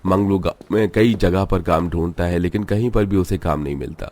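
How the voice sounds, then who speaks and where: A man's voice: low voice, fairly quick, a little mellow. A man narrating a scripted cartoon story as the storyteller.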